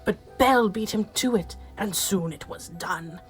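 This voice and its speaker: A woman playing a storybook narrator, reciting rhyming verse in a measured, dramatic way for a radio play. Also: medium pitch, slightly rough, soft background music, low-quality recording.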